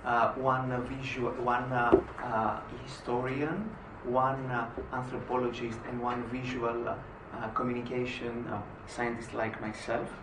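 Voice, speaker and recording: A man from Greece giving a conference presentation, pausing often with "uh" between phrases. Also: medium pitch, flat delivery. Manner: frequent "uh" hesitations. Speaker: conference speaker. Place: conference hall. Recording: echoey hall, distant mic, noisy.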